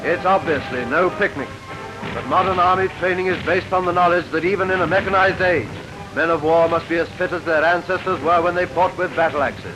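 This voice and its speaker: A man's voice: high-pitched, fairly even intonation, fairly quick, low-quality recording. A British man reading scripted wartime newsreel commentary in a rousing, declamatory manner, on a vintage 1940s film soundtrack. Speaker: newsreel narrator. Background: loud newsreel music.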